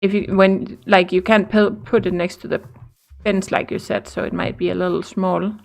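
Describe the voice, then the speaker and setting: A woman's voice: mid-range voice, flat delivery, gravelly. A woman with a Danish accent, chatting casually while playing a building game and explaining things as she goes. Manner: chatty, upbeat, quick laughs. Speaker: Minecraft teacher and co-host. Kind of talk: casual gaming chat.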